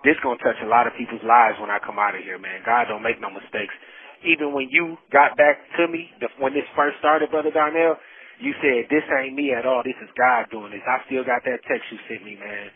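A man speaks through a thin, band-limited prison phone connection at a middling pitch. He gives heartfelt, faith-filled testimony in casual vernacular, earnestly repeating phrases for emphasis. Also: fairly quick, phone-quality sound. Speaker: incarcerated storyteller. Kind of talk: heartfelt personal testimony over a phone call.

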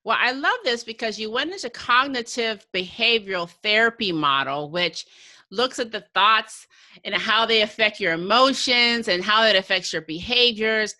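American lady podcast host, bright crisp-edged voice, chatting and interviewing. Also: a little high, expressive, normal speed, no room sound.

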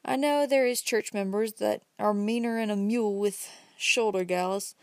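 A woman's voice: medium pitch, moderate pace, no room sound, studio quality, close to an everyday voice. A woman voicing a talkative, folksy old countryman with a rustic drawl, reading an audiobook. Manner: chatty, homespun, emphatic.

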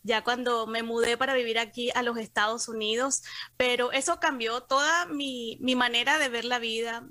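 A woman's voice: fairly high pitch, steady tone, moderate pace, sharp tone, no echo. A middle-aged woman with a Colombian accent, telling her own story conversationally as an interview guest. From Cartagena, Colombia. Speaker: interview guest, communications professional.

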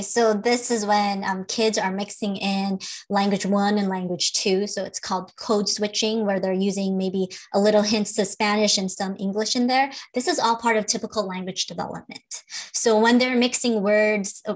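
A woman's voice: mid-range voice, steady tone, moderate pace. A woman co-hosting a parenting podcast as a speech-language pathologist, explaining patiently with fillers and easy laughter. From Philippines. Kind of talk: casual advice chat.

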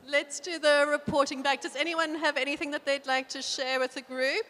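A woman's voice: high voice, fairly even intonation, normal speed, sharp tone. A woman leading a workshop group through a writing exercise, in a friendly, encouraging way with hesitant restarts. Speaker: workshop facilitator. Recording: echoey room with long reverb.

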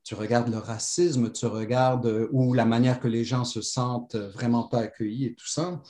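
A man's voice: medium pitch, moderate pace. A middle-aged man with a mixed French, pied-noir and Moroccan accent with a Quebec tinge, telling his life story in a rambling way full of restarts. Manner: rambling, many restarts and fillers, warm and animated. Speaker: guest. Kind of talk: conversational storytelling. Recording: roomy echo.

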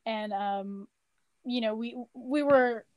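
A young woman from Southern California hosts a podcast conversation, animated and chatty, with plenty of fillers. Her voice is a bit higher than average. The sound is dry and close, with no room around it. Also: slow, smooth, no room sound, muffled recording. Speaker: podcast host. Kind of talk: casual chat.

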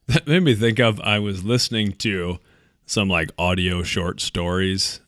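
Deeper-voiced, bright podcast guy. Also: fairly even intonation, unhurried, no echo, clean studio sound. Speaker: podcast host. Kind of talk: casual chat with a co-host.

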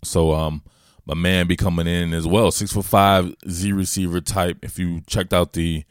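A man's voice: low voice, level tone, moderate pace, clear, resonant tone, no room sound, clean studio sound. A man with a Southern US accent hosting casual, slangy football commentary. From southern United States. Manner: slangy, informal, familiar address to listeners. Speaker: host, football analyst. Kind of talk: casual solo sports commentary.

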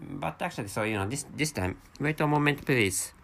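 A man's voice: medium pitch, animated, vocal fry, fairly bright tone. A man in his thirties from Japan with a Japanese accent, hosting a rambling solo podcast in English, his second language, with many halts and restarts. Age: 30s. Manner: halting, many restarts and repeated words. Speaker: podcast host.

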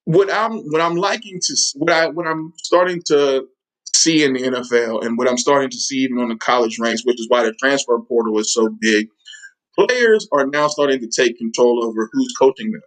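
A man's voice: mid-range voice, a little fast, poor audio. Football coach dude, medium voice, real earnest, leans into his points. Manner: earnest and emphatic. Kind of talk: casual interview chat.

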